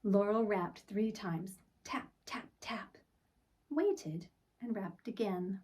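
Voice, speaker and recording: Slow-paced woman reading a children's storybook. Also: medium pitch, a little mellow, far from the mic. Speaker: picture book reader. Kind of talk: storytime read-aloud for children.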